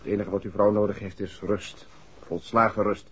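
An actor in a 1960s Dutch radio play playing a reassuring but firm family doctor. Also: male voice, fairly low pitch, level tone, normal speed, fairly bright tone, slight background noise, close to an everyday voice. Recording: dull, muffled old radio recording.

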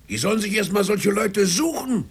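Surly agitator seaman, radio drama; aggrieved, swooping delivery with a gravelly edge. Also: high-pitched, lively intonation, normal speed, heavily acted. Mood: indignant. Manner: indignant, aggrieved, rhetorical questions. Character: surly, grumbling, scrounging sailor, male agitator. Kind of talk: German radio drama acting.

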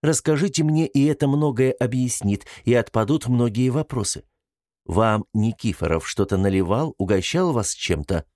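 A man's voice: medium pitch, moderate pace, dry recording, close to an everyday voice. Man as a probing female investigator, dry sound. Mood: soft, sympathetic. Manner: soft, calm, questioning. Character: female investigator, probing, firm yet sympathetic.

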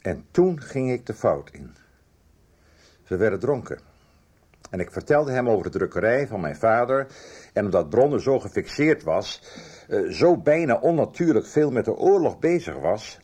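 An older publisher in a radio drama. His low voice is husky and swings widely in pitch. He tells his story deliberately, with halting pauses and emphatic asides, like a confession. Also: darkish tone, exaggerated voice. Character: older male book publisher recounting a guilty past. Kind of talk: radio drama dialogue, reminiscing.

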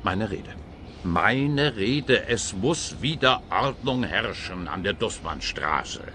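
Stuffy bumbling busybody, lowish voice that jumps around, comic radio play, noisy background. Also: male voice, animated, slow pace, sharp tone, full character voice. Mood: scheming, blustering. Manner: blustering, hesitant trailing phrases. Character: indignant, pompous male neighbourhood busybody, bumbling and lecherous.

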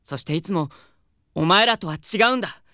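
Prickly idol-hopeful boy, haughty, curt, lively swooping pitch, dry studio sound. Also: fairly quick, no room sound. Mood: proud, bitter. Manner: haughty, boyish, curt speech. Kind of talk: Japanese anime-style audio drama acting.

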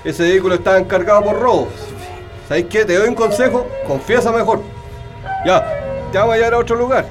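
Man acting high-pitched, bullying Chilean police officer. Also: fast, over music, lightly acted. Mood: menacing. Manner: barking curt orders, interrogating. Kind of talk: radio drama acting.

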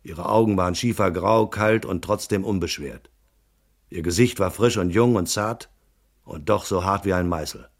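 An actor playing a hard-boiled, laconic detective in a noir radio drama, narrating in a terse, deadpan way. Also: male voice, low voice, normal speed. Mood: dry, weary. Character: hard-boiled male private detective, laconic and world-weary.

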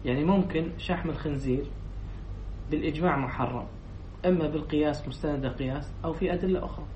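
A man's voice: on the high side, fast, darkish tone. A man teaching a class as a sheikh, reading lines from a text, explaining them and quizzing his students before repeating their answers. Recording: echoey room, distant dull mic, faint noise.

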